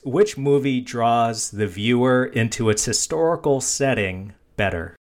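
A man's voice: mid-range voice, unhurried. A man hosting a casual film discussion in a jokey, teasing manner. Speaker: podcast host.

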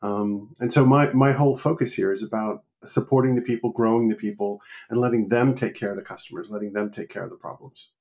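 A middle-aged man with an American accent, a guest talking casually in an interview, relaxed and joking, often restarting his sentences. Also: on the low side, fairly quick, few pauses. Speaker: guest, engineering leader.

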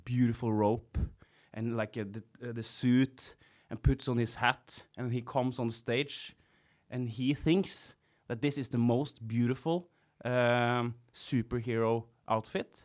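A man speaks as a podcast guest, a clown by trade. His talk is halting and full of restarts, with a gently teasing edge. His pitch sits in an ordinary middle range. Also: steady tone. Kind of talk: casual conversation.

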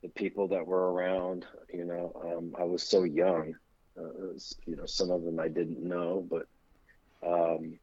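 A middle-aged man speaks in a low, breathy, husky voice. He tells loose, easygoing anecdotes as an interview guest. The recording is dry and a little lo-fi. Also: little pitch movement, a little slow, darkish tone, no echo. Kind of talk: casual storytelling interview.

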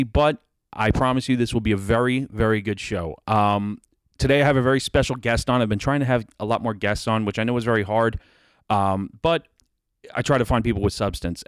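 A man hosting a podcast, introducing his guest in a relaxed way with lots of ums. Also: mid-range voice, clear, resonant tone, no room sound, clean studio sound. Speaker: podcast host. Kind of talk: casual podcast interview.

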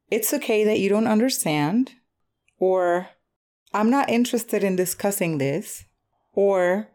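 Low-pitched woman hosting a podcast. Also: darkish tone. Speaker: podcast host. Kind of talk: casual personal podcast monologue addressed to listeners.

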